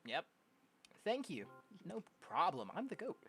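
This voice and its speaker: A young adult game streamer casually reading visual novel dialogue aloud, jokey and prone to loud exclamations. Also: medium pitch, a little slow, creaky. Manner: animated, jokey, exclaims loudly. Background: game music.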